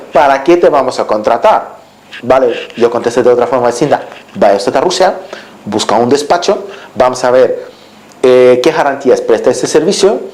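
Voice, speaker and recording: Male lawyer, native Russian speaker with Russian accent, average pitch, explaining services to clients off the cuff, searching for words. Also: a little mellow, poor audio. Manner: halting, word-searching, repeats and restarts phrases. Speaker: lawyer and tax adviser. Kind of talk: unscripted promotional explanation to prospective clients.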